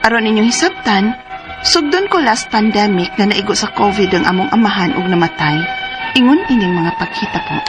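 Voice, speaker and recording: A woman in her forties with a Cebuano accent playing a single daughter who cares for her mother in a radio drama, earnest and rising high in surprise. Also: animated, a little fast, lightly acted. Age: 40s. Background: music.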